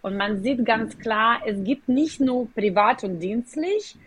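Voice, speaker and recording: A woman's voice: fairly high pitch, animated, slow, husky. Woman with a Ukrainian accent. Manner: explains at length in slightly non-native German with hesitations. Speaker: guest, company executive. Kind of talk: podcast interview.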